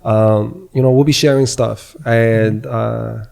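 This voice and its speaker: A man hosting a podcast in a casual, excited way, often repeating himself for emphasis. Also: mid-range voice, takes it slowly, creaky. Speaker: podcast host.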